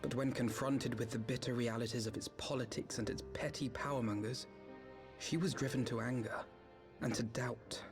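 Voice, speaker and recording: A man's voice: mid-range voice, fairly even intonation, moderate pace, natural voice. An actor playing an earnest young nobleman, formal and eloquent, with a grieving weight to his words. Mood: grave, sorrowful. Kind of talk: video game voice acting, dramatic dialogue. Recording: game music under the voice.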